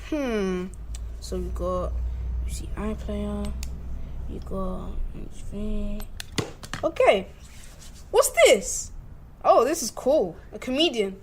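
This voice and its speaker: A man's voice: sits high, slow, pauses often, slight background noise. A young male performer playing an upbeat, unlucky man in an amateur radio play. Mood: determined. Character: Bob, upbeat unlucky man turned comedian.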